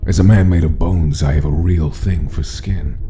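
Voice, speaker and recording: Guy doing a low rough skeleton gangster, creepy flirty taunting, dark tone, music under it. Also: moderate pace. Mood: mocking, flirtatious. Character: menacing male skeleton mobster, mockingly flirtatious. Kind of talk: dramatic comic dub acting.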